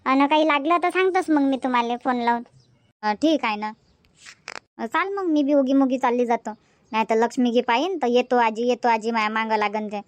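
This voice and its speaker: A woman's voice: fairly high pitch, brisk, lightly acted. A woman voicing a chatty village mother in a cartoon comedy, speaking colloquial Varhadi Marathi in a homely way. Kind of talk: cartoon comedy dubbing, everyday family chat.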